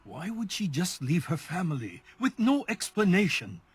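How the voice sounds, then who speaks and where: A desperate middle-aged father in a game drama, with a fairly high, husky voice. His strained, insistent delivery swings widely in pitch. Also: very animated, moderate pace, exaggerated voice. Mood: distraught, insistent. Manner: urgent, insistent, agitated. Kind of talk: dramatic video game voice acting.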